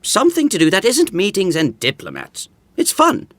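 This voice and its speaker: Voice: medium pitch, wildly swooping pitch, normal speed, fairly bright tone, no room sound. An actor playing a wistful, teasing young confidant in a modern romantic comedy audio drama. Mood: wistful, dreamy.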